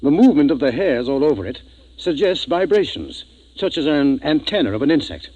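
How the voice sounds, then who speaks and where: An actor playing an earnest, thoughtful ship's doctor who speaks deliberately and emphatically in a 1950s radio drama. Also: male voice, fairly high pitch, big pitch swings, a little mellow, dry recording, muffled recording. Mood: thoughtful, explaining.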